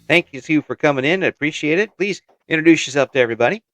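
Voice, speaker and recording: A man's voice: a little high, expressive, racing, fairly bright tone, faint music behind. A man hosting a casual interview podcast, chatting in an encouraging way.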